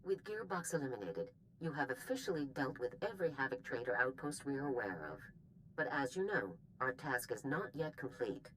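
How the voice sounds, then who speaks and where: An actress playing a businesslike military general in a video game, clipped and authoritative. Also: female voice, a bass voice, very animated, brisk, fairly bright tone, slight background noise, full character voice, rough recording. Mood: brisk, official.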